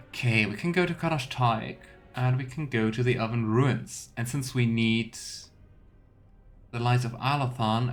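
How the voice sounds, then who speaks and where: A man streaming a video game, giving casual commentary and thinking aloud. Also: mid-range voice. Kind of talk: casual let's play commentary.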